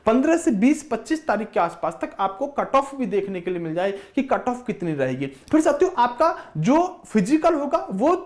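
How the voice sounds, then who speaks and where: A man's voice: very high-pitched, big pitch swings, normal speed, few pauses. A man speaking as an exam coaching teacher, explaining informally and emphatically, with repetition and frequent direct address to students.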